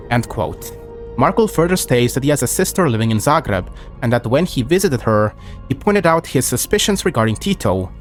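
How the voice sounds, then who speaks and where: A man reading scripted history narration in a measured way, quoting documents aloud. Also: medium pitch.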